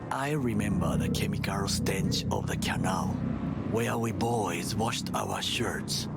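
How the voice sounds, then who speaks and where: A man playing a solemn, proud older corporate bodyguard in a video game, speaking formal, measured English with a Japanese accent and a gravelly voice. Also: low-pitched, full character voice. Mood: wistful, solemn. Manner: formal, measured, deliberate phrasing. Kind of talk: cinematic video game acting. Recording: loud music under the voice.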